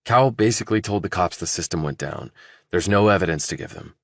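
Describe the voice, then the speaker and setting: A man's voice: low voice, lively intonation, brisk, creaky, no room sound, studio quality. A man voicing a defensive, desperate young murder suspect in an audiobook, earnest, blunt and insistent. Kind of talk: audiobook character dialogue.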